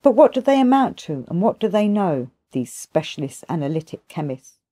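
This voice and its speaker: A woman's voice: on the low side, very animated, moderate pace, mellow, no echo, studio quality. A woman voicing a kindly but sarcastic male pharmacist who explains things at length in an audiobook. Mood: sarcastic, scornful. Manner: sarcastic, expository, rhetorical questions. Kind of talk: audiobook character dialogue.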